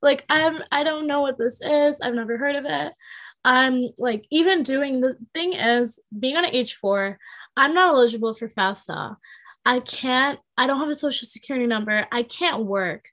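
A woman's voice: sits high, moderate pace, fairly bright tone. A woman of twenty, a podcast guest, explaining her situation in a chatty way full of "like" fillers. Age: 20s. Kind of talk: casual chat.

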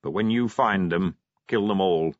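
A man's voice: low voice, unhurried, dry recording, dull audio. A man doing a grieving, emotionless dwarf father. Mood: cold. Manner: eerily emotionless. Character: grieving older male dwarf, cold and flat.

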